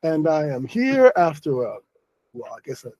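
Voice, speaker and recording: A middle-aged man from New City, speaking to his viewers in a halting, casual monologue and sounding weary after a stressful day. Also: on the high side, big pitch swings, a little mellow, no echo. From New York City. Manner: halting, many restarts and self-corrections. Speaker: online English host.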